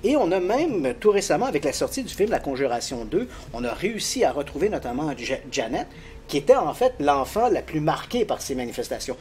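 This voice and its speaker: A man explaining a paranormal case in detail as a guest, lively and quick to laugh. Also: on the high side, a little hiss or hum. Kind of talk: casual explanatory storytelling.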